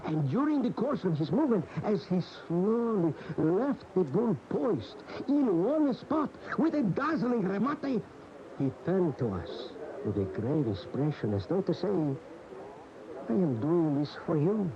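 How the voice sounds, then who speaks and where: An actor in a radio drama playing an emotional bullfight devotee, recalling his idol reverently with dramatic, halting phrases. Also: high-pitched, big pitch swings, heavily acted. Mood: rapt, nostalgic. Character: admiring bullfight aficionado reminiscing, emotional and reverent. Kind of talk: 1980 radio drama monologue, interview-like recollection. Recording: dull, noisy 1980 AM radio recording.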